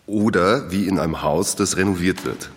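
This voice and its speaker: A middle-aged man acting a calm father of two in an audio drama, giving short, dry answers. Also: deep voice, moderate pace, husky. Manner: calm, dry, brief replies. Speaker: local villager.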